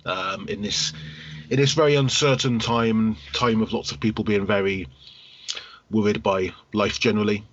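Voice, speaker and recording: A man's voice: lowish voice, fairly even intonation, normal speed, highs cut off, a little hiss or hum. A man co-hosting a podcast, chatting casually in a hesitant, joking way. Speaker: podcast co-host. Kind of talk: casual chat.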